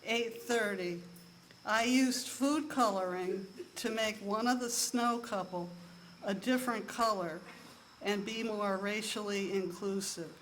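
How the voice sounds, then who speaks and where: A woman with a soft voice performing a deadpan comic monologue at a live show, in a measured, list-like delivery with timed pauses. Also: mid-range voice, slight background noise, low-quality recording.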